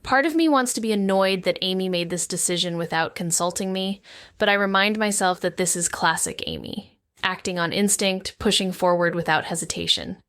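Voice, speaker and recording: A woman's voice: lowish voice, a bit bright, dry recording. A cautious young woman detective, played by an actress, narrates in a wry, thoughtful first-person voice. The recording is close and dry, with no room sound. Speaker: narrator and lead character. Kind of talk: noir audio drama narration.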